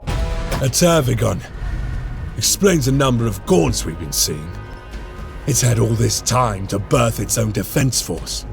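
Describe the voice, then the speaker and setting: An actor playing a grim, battle-hardened armored warrior in a video game, grave, clipped and commanding. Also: male voice, mid-range voice, expressive, a little slow, creaky, long pauses, over music. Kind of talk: dramatic video game acting.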